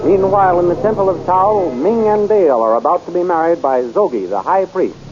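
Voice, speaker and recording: A man's voice: sits high, brisk, loud music behind the voice. A man narrating a 1930s radio serial in a dramatic, urgent way, on a muffled old recording with hiss.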